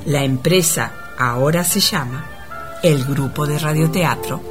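A narrator telling a comic radio play in a measured, mock-solemn scripted style. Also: medium pitch, over music.

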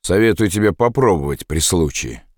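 A man's voice: sits low, animated, moderate pace, no room sound, clean studio sound. A man reading a weary, terse soldier. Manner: terse, tired.

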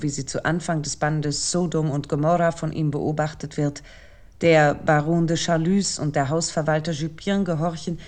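An actress plays a thoughtful woman essayist with a slightly low voice. She delivers a scripted literary essay for radio, formal and articulate, setting out each thought in measured phrases. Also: warm tone, natural voice.

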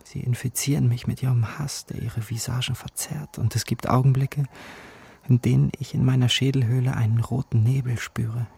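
An actor playing a bitter, dreamy poor youth, narrating in a brooding, intimate, gravelly way in a literary radio drama. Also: male voice, mid-range voice, level tone, moderate pace, dark tone. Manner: brooding, intimate, sometimes whispering.

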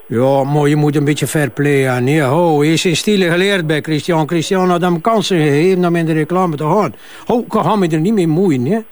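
Male actor, older Flemish father, high voice, flustered, defensive, halting repetitive speech, crime drama. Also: close to an everyday voice. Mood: indignant. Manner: halting, stammering, repeating himself. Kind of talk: naturalistic TV crime drama dialogue.

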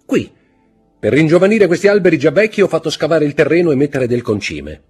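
Man voicing a nobleman count, commanding, raised and loud, forceful, husky, audiobook. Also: on the high side, sing-song, fairly quick, faint music behind, voice pushed up. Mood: pointed. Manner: forceful, dramatic delivery.

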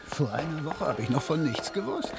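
A man's voice: on the high side, very animated, a little fast, creaky, over loud music. An actor playing a nervous, faint-hearted man in his sixties, an anxious and complaining accomplice in a radio drama. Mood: panicky.

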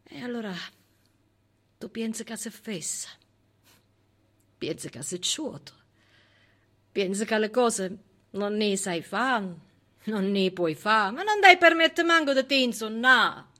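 Southern Italian actress for solo theatre piece: slow, sharp-edged voice, expressive dramatic delivery. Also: female voice, a little high. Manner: expressive, dramatic, shifting between hushed and emphatic delivery. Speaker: stage actress, solo performer. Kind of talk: theatrical monologue with dialect and song.

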